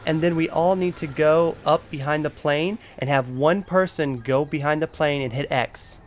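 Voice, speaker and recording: A man's voice: a little high, normal speed, slight background noise. A man giving a casual game walkthrough, explaining each step informally as the host. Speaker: gaming video host. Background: game music.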